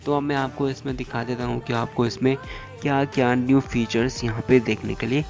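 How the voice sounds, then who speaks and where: A man's voice: medium pitch, steady tone, a little fast, vocal fry, music in the background. A man giving a step-by-step phone tutorial as a YouTuber, repeating instructional phrases and mixing in English tech terms.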